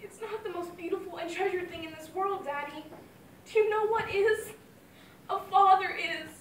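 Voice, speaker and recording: A young woman plays a grieving mother speaking to her late father, her high voice carrying heartfelt, wavering feeling. The sound is roomy and echoey, caught from a mic set well back from her. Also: brisk, distant mic, a little hiss or hum. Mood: anguished. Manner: heartfelt, wavering between fond memories and anguish. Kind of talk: dramatic monologue.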